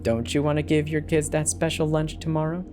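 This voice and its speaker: A sinister, anonymous stalker, as a horror narrator puts them on, issues deliberate commands. The voice is menacing and controlled, with music underneath. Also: mid-range voice, steady tone, pitched above the performer's own voice, close to an everyday voice. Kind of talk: dramatic horror story reading. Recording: background music behind the voice.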